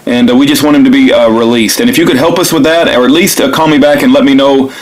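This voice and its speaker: A man speaking informally and earnestly, as if leaving a phone message or narrating one, in a halting, repetitive, pleading way. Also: mid-range voice, steady tone, low-quality recording. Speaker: activist video maker recording himself making a phone call.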